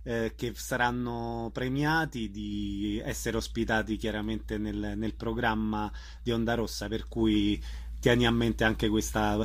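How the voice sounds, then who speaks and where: A man's voice: fairly low pitch, level tone, a little slow. A man hosting a conversational radio interview, putting questions to guests.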